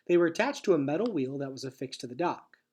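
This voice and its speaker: Animated, slightly husky male storybook reader. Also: on the high side, fast talker. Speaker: read-aloud reader of a children's novel. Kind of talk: expressive storybook narration.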